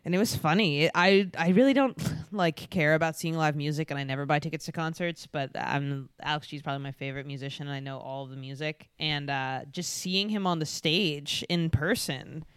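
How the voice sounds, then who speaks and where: A man's voice: on the high side, a little fast, hardly any pauses, fairly bright tone, smooth, no echo, clean studio sound. A young man co-hosting a podcast, telling an earnest, reflective story in casual chat. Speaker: podcast co-host, stand-up comedian.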